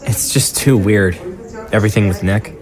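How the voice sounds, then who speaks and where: An actor playing an uneasy, terse grown son in an audio drama. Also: male voice, fairly low pitch, moderate pace, a crowd in the background, natural voice. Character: uneasy, terse adult son named Kevin.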